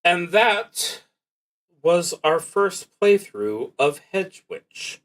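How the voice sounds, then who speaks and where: A man hosting a solo tabletop roleplaying stream, talking casually and haltingly as he thinks aloud, with long pauses. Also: high-pitched, big pitch swings, fairly bright tone, poor audio.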